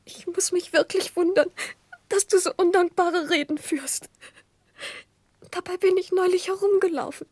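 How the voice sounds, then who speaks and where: An actress playing a childish, easily hurt young wife in a plaintive, reproachful way for a 1960s German radio drama. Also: female voice, very high-pitched, steady tone, moderate pace, pauses often, a little mellow, no echo. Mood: hurt, tearful.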